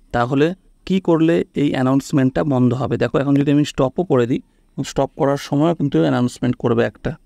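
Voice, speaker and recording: A man with a medium-pitched voice, recorded dry and close. He walks through phone steps casually as a tutorial host, often checking that the listener is following along. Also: creaky, darkish tone, dry recording.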